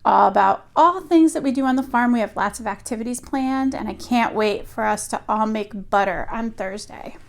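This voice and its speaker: A woman reading a picture book aloud like a teacher at storytime, expressive and deliberate for young children. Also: on the high side, vocal fry.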